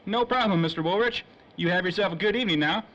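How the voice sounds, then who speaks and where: A high-pitched eager shoeshine boy. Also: fast, a bit bright. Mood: chipper. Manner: street-vendor patter, earnest and deferential. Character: eager, nervous young shoeshine boy, streetwise. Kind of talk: old-time radio drama acting. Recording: dull, faintly noisy old radio recording.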